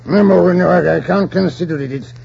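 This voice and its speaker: An actor playing a pompous, flustered middle-aged colonial governor with a blustering, stammering delivery, in 1940s radio style. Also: male voice, high voice, fairly quick, gravelly, darkish tone, breathy edge, exaggerated voice. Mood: alarmed, blustering. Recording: dull, noisy 1940s radio recording.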